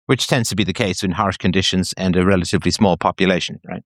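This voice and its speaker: A man's voice: low-pitched, steady tone, fast, no room sound. Dry-sounding man pressing with questions. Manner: questioning, pressing with logical follow-ups. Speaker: host interviewing a caller. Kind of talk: probing conversational interview.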